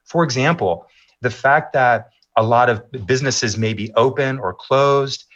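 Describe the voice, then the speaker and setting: A middle-aged man answering interview questions as a maps executive, in measured, polished talking points with occasional fillers. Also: mid-range voice, fairly bright tone, lo-fi sound.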